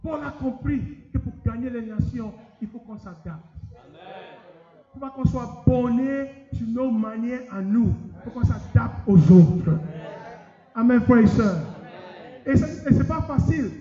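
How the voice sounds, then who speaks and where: A middle-aged man preaching a lively sermon, talking straight to the congregation in a conference hall. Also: extremely high pitch, a little slow, soft background music. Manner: lively, addresses the congregation directly. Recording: echoey hall, dull sound.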